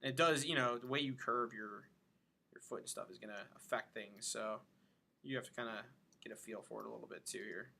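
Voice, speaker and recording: Bright-voiced man narrating a casual tutorial. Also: medium pitch, brisk, vocal fry. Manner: informal, thinking aloud while demonstrating steps. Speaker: animation instructor.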